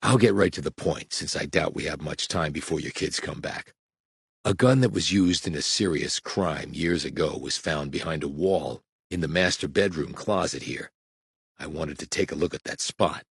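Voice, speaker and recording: A man voicing a huge, blunt federal agent who speaks quietly and matter-of-factly. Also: a bass voice, moderate pace, gravelly, slightly rough, no room sound, clean studio sound, full character voice. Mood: low-key. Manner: quiet, terse, matter-of-fact.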